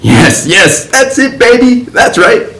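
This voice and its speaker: A hip 1950s writer full of slang and swagger, played by a man. His voice leaps up and down with animated energy. It sounds roomy, with a clear echo. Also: extremely high pitch, takes it slowly, full character voice. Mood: jazzy, excited.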